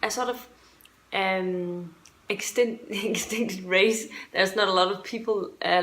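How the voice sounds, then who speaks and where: A woman's voice: on the low side, fairly bright tone. A woman from Denmark with a Danish accent, giving a casual book review to viewers in a hesitant, rambling, self-correcting way. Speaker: book reviewer and video host.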